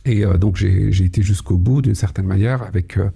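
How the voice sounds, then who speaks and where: Gravelly, dark-voiced man, measured and hesitant. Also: deep voice. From Algeria, Kabylie. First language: Kabyle. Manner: slow, measured, many hesitations. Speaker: guest, cybersecurity head. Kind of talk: podcast interview.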